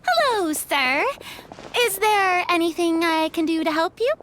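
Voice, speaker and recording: A chirpy, high little companion, voiced by a woman, full of cheek and bounce. Her voice leaps all over the place as she chimes in. It sounds like a fan-dubbed game sidekick. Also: expressive, background noise. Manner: bright and cheeky, quick to chime in.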